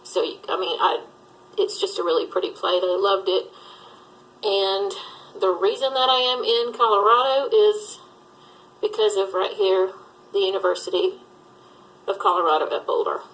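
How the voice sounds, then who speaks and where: A middle-aged woman from Alabama talks through a casual get-to-know-me video, rambling from one point to the next with plenty of fillers. Her voice sits at an ordinary middle pitch, and her manner is chatty and easygoing. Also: normal speed, pauses often, fairly bright tone, rough recording. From Southern US, Alabama. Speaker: thrift reseller vlogger. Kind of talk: casual tag video chat.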